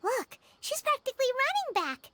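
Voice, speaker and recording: A woman voicing a tiny, excitable childlike fairy companion in an anime video game, squeaky and exclamatory, speaking of herself in the third person. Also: normal speed, heavy background noise. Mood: curious, concerned. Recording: music playing behind.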